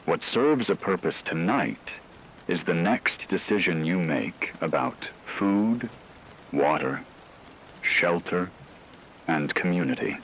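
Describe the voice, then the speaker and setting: A man playing a grave radio anchor who delivers scripted news of a global catastrophe in a solemn, measured, formal way. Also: sits low, animated, a bit bright, a little hiss or hum, heavily acted, poor audio. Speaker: emergency broadcast announcer.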